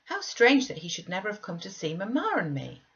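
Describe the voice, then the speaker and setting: A woman's voice: mid-range voice, huge pitch swings, fairly quick, lo-fi sound, voice pushed up. A woman voicing a frail, anxious, questioning young boy in an audiobook reading of a classic novel. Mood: curious, wondering.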